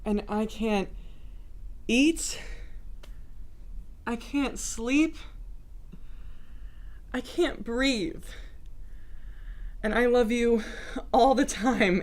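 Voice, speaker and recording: A woman playing a flustered, lovesick young woman in a dramatic monologue, confessing in a halting, emotional way. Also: a little high. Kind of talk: dramatic TV monologue performed by a student. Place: student TV studio.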